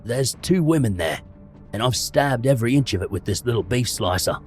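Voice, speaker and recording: A man voicing a burly, flustered, plain-spoken pub barman in an audiobook, loud and urgent. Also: medium pitch, expressive, brisk, faint music behind, close to an everyday voice. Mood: anxious reporting. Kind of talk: dramatised audiobook character voice.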